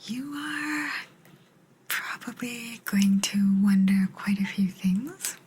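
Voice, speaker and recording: A woman voicing a kind but aloof alien examiner in an ASMR roleplay, in a breathy whisper with a gentle, halting delivery. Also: on the high side, heavily acted. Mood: calm, aloof. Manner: slow, halting, gentle, calmly detached.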